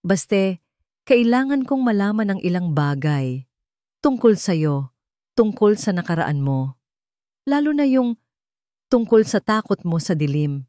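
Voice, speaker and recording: A woman's voice: medium pitch, animated, unhurried, pauses often, mellow, no room sound, studio quality, pitched above the performer's own voice, lightly acted. Narrator lady as young female bodyguard in a radio drama, wary, urgent, full of questions. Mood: probing.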